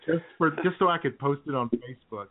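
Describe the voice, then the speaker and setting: A man's voice: medium pitch, fast talker. Joking man with phone-like sound. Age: 40s. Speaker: radio show co-host. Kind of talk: casual joking chat. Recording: narrow-band, phone-like sound.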